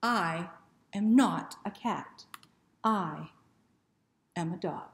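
A woman's voice: fairly low pitch, extremely slow. A woman voicing an indignant, easily flustered male dog from a picture book, with emphatic protests. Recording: roomy indoor echo.

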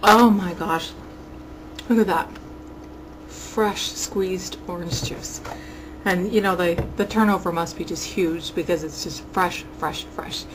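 A woman's voice: fairly low pitch, takes it slowly, background noise. A woman, around her forties, gushing enthusiastically as she reviews a local outing for her webcam vlog, recorded indoors at home on a built-in mic. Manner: gushing and enthusiastic, exclaims over things. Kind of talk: casual chatty review to webcam. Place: small room at home, indoors. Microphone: built-in webcam mic at close range.

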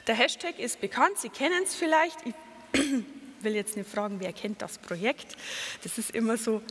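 A middle-aged Bavarian woman speaks at a conference with a fairly high voice, earnest and explanatory, often pausing on fillers and correcting herself mid-phrase. Her voice rings with a long hall reverb. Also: a bit bright, a little hiss or hum. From Lower Bavaria, Germany. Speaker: patient advocate speaker. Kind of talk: conference talk with slides.

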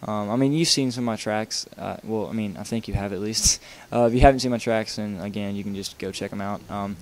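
Young man, lowish voice, casual rambling tutorial voice-over, lots of ums and uhs, laid-back. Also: fairly even intonation, fairly quick, a little mellow, a little hiss or hum. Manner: casual, rambling, many fillers and restarts. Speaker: video game tutorial maker.

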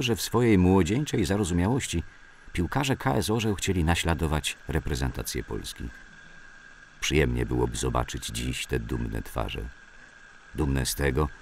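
A man with an extremely low, gravelly voice narrates a scripted memoir. His delivery is measured and storytelling, with lively rises and falls in intonation. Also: a little hiss or hum. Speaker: narrator reading memoirs for an audio drama.

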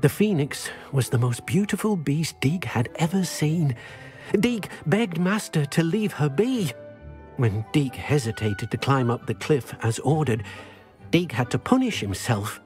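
Small sad house-elf in a game, talks about himself in third person, kinda high voice, music behind. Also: male voice. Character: small male house-elf, meek and guilt-ridden. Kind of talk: video game voice acting.

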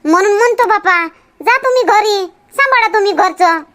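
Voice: very high-pitched, lively intonation, moderate pace, pushed and bright, heavily acted. A performer voicing a busy, nagging village housewife and mother in a cartoon comedy, with a rural Vidarbha Marathi accent. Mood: earnest, chatty.

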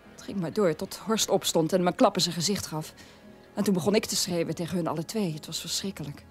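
Low-voiced middle-aged mother, played by an actress. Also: fast, faint music behind, natural voice. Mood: subdued, shaken. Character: troubled middle-aged mother recounting family's guilty past. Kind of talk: dramatic radio play monologue.

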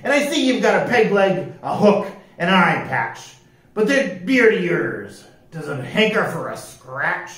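A man's voice: high-pitched, big pitch swings, a little slow, fairly bright tone, pitched above the performer's own voice, full character voice. A man voicing a blustery, impatient pirate captain with a stage pirate accent, bellowing theatrically in a children's storybook. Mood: sly appraising. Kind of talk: animated children's storybook read-aloud. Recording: roomy echo, distant microphone.

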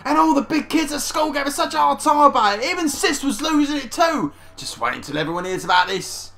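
A man putting on an excitable high-pitched little boy. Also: a little fast, gravelly, faint music behind, heavily acted. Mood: gleeful. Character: eager, wide-eyed little boy, cheerful and naive.

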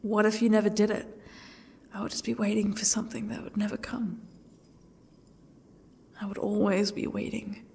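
A woman playing a defiant, sardonic captive in an audio roleplay, speaking haltingly and often sinking toward a whisper. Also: medium pitch, level tone, mellow, a little hiss or hum, close to an everyday voice. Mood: uneasy, grudging. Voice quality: husky, breathy, creaky. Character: defiant, sardonic captive woman facing her ex's torture. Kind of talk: audio roleplay, one-sided dialogue.

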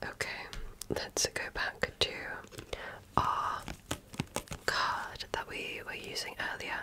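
A woman whispering softly and breathily in an ASMR medical exam roleplay, speaking as a gentle, attentive and reassuring clinician. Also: slow pace. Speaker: ASMR creator playing an alien medical examiner.

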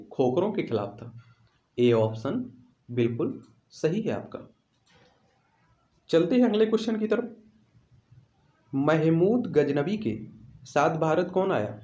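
A man's voice: a little high, sing-song, slow pace, long pauses, dark tone. A man teaching a quiz lesson, repeating questions and answers and checking in with listeners. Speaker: exam-prep teacher. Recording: roomy, echoing voice recording.

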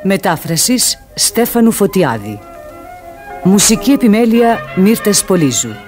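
A man's voice: high voice, sing-song. A man reading credits formally as a radio theatre announcer. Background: music.

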